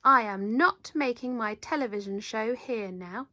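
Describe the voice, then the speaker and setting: A woman voicing an indignant television man in a storybook, scolding with huffy, deliberate emphasis. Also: fairly high pitch, sharp tone, no echo, studio quality.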